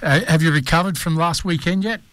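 A man's voice: fairly high pitch, a little fast, a bit bright, no room sound. A man with an Australian accent hosting a morning radio show, chatting matily with a guest and filling gaps with lots of ums and uhs. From Hunter Valley, New South Wales, Australia. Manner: matey, chatty, many fillers. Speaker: radio host. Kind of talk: casual radio interview.

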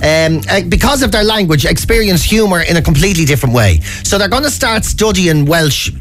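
A man's voice: high voice, expressive, brisk, fairly bright tone. A man co-hosting a morning radio show, jokey and playful, stumbling over his words. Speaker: radio co-host. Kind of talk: casual morning radio banter. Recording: radio broadcast with faint music.

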